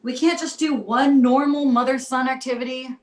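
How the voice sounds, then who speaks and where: A woman playing the exasperated, placating mother of a talking baby in an animated comedy table read, recorded in a roomy space. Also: sits high, moderate pace, close to an everyday voice.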